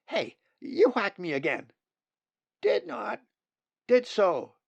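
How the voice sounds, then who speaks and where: A man voicing squabbling, eager young raven nestlings in a storybook reading, clamoring and shouting childishly. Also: high voice, wildly swooping pitch, slow pace, vocal fry, husky, no echo, dull audio, voice pushed up, exaggerated voice.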